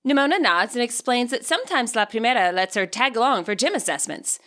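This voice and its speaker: A woman reading scripted fiction aloud as an expressive audiobook narrator. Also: on the high side, brisk.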